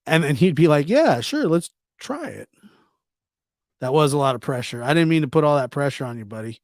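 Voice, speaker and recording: Casual male livestreamer, slightly high-pitched, dry sound. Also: very animated, brisk, no room sound. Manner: informal, teasing, repeats himself. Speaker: livestream host. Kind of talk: casual live chat commentary, reading and answering viewer comments.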